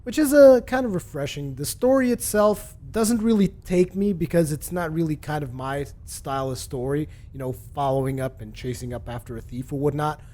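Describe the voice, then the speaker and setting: A man casually reviewing a video game for his channel, relaxed and rambling, with lots of fillers and restarts. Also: fairly high pitch, moderate pace, hardly any pauses. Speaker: gaming video host.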